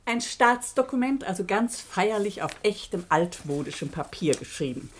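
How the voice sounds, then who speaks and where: A woman's voice: mid-range voice, animated, normal speed, slight background noise, lightly acted. An actress playing a polite woman presenting documents in a German radio play. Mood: polite, pointed.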